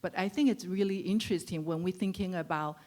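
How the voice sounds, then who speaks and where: A woman from Taiwan, asking a panel an earnest, halting question in an auditorium. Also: on the low side, a little mellow. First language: Chinese. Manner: earnest, halting with many fillers. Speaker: audience questioner.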